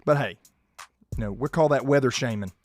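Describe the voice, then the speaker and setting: A man, hoarse and losing his voice, hosting a casual sports podcast and keeping his volume down. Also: mid-range voice, a little fast, soft background music. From South Georgia, US. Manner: restrained, holding his volume down. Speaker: podcast host.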